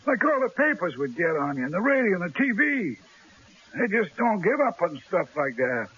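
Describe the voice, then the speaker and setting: High, very raspy henchman. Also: very animated, moderate pace, a bit bright, heavily acted. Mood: anxious, cautious. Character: timid, cautious male gangster henchman, placating his boss. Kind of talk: radio drama acting. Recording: muffled old radio broadcast.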